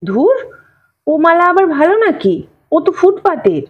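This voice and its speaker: An exasperated, penny-pinching father acted by a woman storyteller, high in pitch and full of swoops. He blusters, scolds and brushes off objections, in the manner of a storybook performance. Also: big pitch swings, measured pace, lo-fi sound, exaggerated voice. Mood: placating. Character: exasperated, stingy father placating and haggling. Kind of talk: audio storybook character voice.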